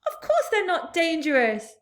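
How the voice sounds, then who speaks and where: A woman's voice: extremely high pitch, wildly swooping pitch, normal speed, pitched above the performer's own voice, full character voice. A woman voicing a small, cheerful, friendly boy in a storybook reading.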